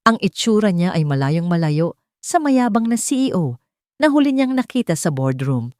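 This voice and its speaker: A woman with a Filipino accent narrating a scripted love drama in a measured, dramatic way. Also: on the low side, big pitch swings, darkish tone, no room sound, studio quality. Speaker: storyteller.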